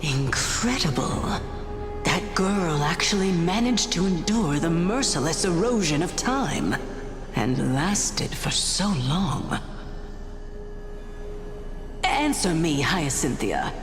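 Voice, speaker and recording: An actress playing an ancient female general in a video game, stately and stern yet gentle, with formal, measured phrasing. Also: on the low side, very animated. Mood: grave, commanding. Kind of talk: scripted video game voice acting. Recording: long hall reverb, music behind.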